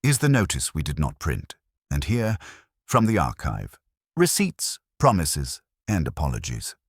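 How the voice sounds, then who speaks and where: A British man voicing a calm, courteous police inspector in a mystery story, measured, formal and dryly authoritative. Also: very deep voice, husky, no room sound, clean studio sound, heavily acted. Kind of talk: storybook character dialogue in a mystery.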